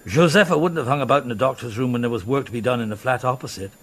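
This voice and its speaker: A man's voice: medium pitch, very fast, fairly bright tone, natural voice. A man playing a patient middle-aged police inspector in a radio drama, speaking in a hesitant, wry murmur. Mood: polite, furtive. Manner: hesitant, murmuring, stammers mildly. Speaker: actor in the lead role. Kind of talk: naturalistic radio drama acting.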